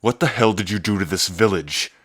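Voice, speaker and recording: A man's voice: fairly quick, fairly bright tone, slightly rough, dry recording, studio quality. A man playing a cocky, teasing dragon prince and protective big brother in an ASMR audio drama. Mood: alarmed, angry. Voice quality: deep, low. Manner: smug, flirtatious, teasing, sweary.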